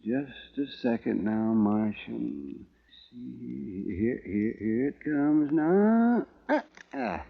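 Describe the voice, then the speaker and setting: An actor playing a crusty, ghoulishly cheerful older frontier doctor with an American accent, folksy and wry, in a 1950s radio western. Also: male voice, medium pitch, animated, drawn-out words, gravelly, full character voice. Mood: matter-of-fact, wry. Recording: muffled old radio recording.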